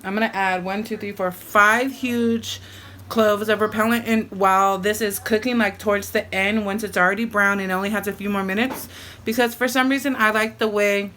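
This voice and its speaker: A woman's voice: mid-range voice, sharp tone. A woman chatting through a home cooking video, joking bawdily and rambling as she goes. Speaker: cooking vlogger. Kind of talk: casual cooking chat. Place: home kitchen with food frying. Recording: sizzling pan noise behind.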